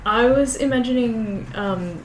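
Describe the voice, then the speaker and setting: A woman's voice: fairly high pitch, roomy sound, very noisy. A woman player in a tabletop game, chatting playfully and laughing. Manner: playful, laughing, quick questions. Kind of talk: casual chat at a tabletop game.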